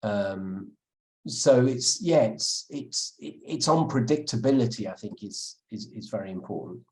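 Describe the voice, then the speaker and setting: A man with a lowish voice answers an interviewer's questions about his book. He speaks thoughtfully, often stalling on fillers and restarting his sentences. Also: expressive, measured pace, darkish tone. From England. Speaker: author interviewed as guest.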